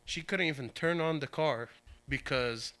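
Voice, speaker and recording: A man's voice: on the high side, unhurried, a bit bright, smooth. A man from Portugal, a podcast guest, telling a story casually and often correcting himself as he goes. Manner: rambling, self-correcting, laughs easily.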